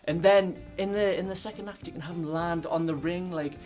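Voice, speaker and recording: A man in a casual group chat about games, excitable and rambling, with lots of "like" fillers. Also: high-pitched, fairly quick, soft background music. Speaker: podcast panelist.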